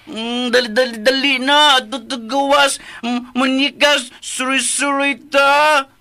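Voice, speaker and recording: A man playing a childlike, excitable simple-minded man in a Cebuano Filipino radio drama, stammering and repeating himself. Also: very high-pitched, unhurried, exaggerated voice. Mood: excited, eager. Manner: stammering, repetitive babble.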